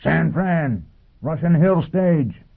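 A fanatical fugitive from a science fiction story , acted out by a male reader, savage and defiant. He exhorts in short bellowed bursts with a gravelly rasp. The recording is thin and phone-like, with a narrow band. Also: fairly low pitch, moderate pace. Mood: roaring, urgent.

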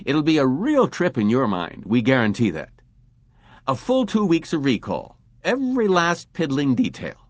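A man voicing a smooth, persuasive middle-aged salesman who talks emphatically and genially. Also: mid-range voice, huge pitch swings, moderate pace, no room sound, highs cut off, pitched above the performer's own voice.

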